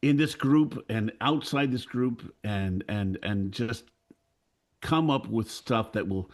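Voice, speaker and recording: A man of sixty-two speaking earnestly and off the cuff on a panel, haltingly, often repeating phrases. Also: mid-range voice. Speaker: audio drama creator on a panel. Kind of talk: earnest unscripted panel talk.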